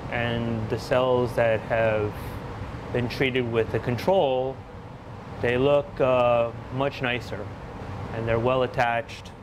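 A man's voice: mid-range voice, little pitch movement, slow pace, background noise. A middle-aged man, a research scientist who grew up in the US, talking casually about his lab work with frequent fillers and restarts. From Taiwan, grew up in the US. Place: indoor laboratory.

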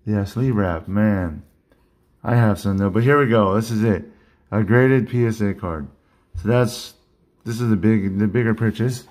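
A man talks loosely through an unboxing at his desk, restarting sentences as he goes. His voice sits a little low and is worn, as if he is losing it. He sounds like a hobby channel host chatting to his viewers. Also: unhurried, darkish tone. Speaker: card collecting channel host. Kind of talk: casual unboxing vlog. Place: indoor collection room at a desk.

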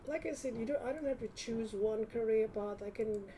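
Woman rambling to herself, kinda high soft-toned voice, hesitant, bit of background noise. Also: fairly quick. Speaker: vlogger. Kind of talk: casual thinking aloud while browsing.

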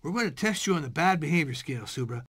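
A woman voicing a cocky, mischievous school boy in an animated series, with a sardonic, teasing manner. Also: sits low, fast talker, breathy edge. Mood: sardonic, dismissive.

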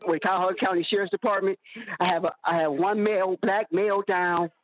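A sheriff's deputy giving a terse, halting report over police radio. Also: male voice, high-pitched, steady tone. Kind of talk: radio dispatch. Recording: tinny police radio transmission.

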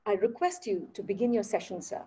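A woman's voice: mid-range voice, brisk, dark tone, husky. Woman giving a formal introduction. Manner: formal, reading from notes. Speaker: event host. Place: stage with podium.

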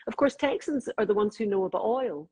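A middle-aged Scottish woman with a Glaswegian accent, talking quickly and telling stories in a casual interview over a video call. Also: mid-range voice, expressive, a little mellow, slightly rough. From Scotland. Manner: chatty storyteller, quick, laughs often. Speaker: crime novelist guest.

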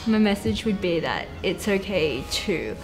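A woman's voice: mid-range voice, big pitch swings, unhurried, music in the background. A young Chinese Australian woman talking casually in a seated group conversation, thoughtful and full of "like" fillers. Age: young adult. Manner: thoughtful, uses many fillers like "like". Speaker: panel guest. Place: bright studio with plants, indoors.

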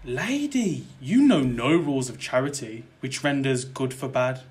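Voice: medium pitch, animated, measured pace, a little mellow, lightly acted. An actor playing a mockingly sweet-tongued killer in a theatrical verse audio drama. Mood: silkily mocking. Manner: theatrical, taunting.

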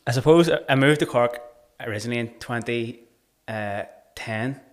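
A man's voice: medium pitch, normal speed. A man in his thirties with a Belfast accent, a podcast guest talking earnestly in casual conversation, often restarting his sentences. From Northern Ireland. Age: 30s. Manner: earnest, searching, frequent restarts. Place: small bright studio room. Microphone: desk mic on boom arm.

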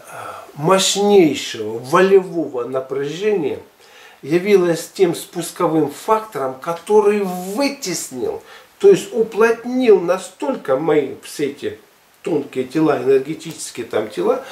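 A man in his sixties talking casually to camera in a halting, emphatic, colloquial way. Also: high voice, very animated, a little mellow. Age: 60s. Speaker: health and fitness vlogger. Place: furnished living room at home, indoors. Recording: echoey room, camera at a distance.

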